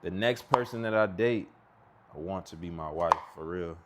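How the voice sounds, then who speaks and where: A man's voice: low voice, moderate pace, background noise. A man in his thirties with a Texan accent, chatting casually and rambling in slang while he cooks at home. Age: 30s. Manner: laid-back, rambling, slangy. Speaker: cooking vlogger. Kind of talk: casual chat while cooking. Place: home kitchen.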